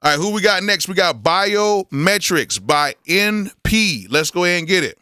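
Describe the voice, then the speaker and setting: A hoarse man talks loosely through a live beat review, reacting with blunt verdicts and plenty of exclamations. His voice is bright and forceful despite the wear. The sound is close and dry, with no room around it. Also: high voice, animated, normal speed, dry recording. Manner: candid, blunt, full of exclamations. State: hoarse, losing his voice from yelling. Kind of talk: casual live-stream commentary.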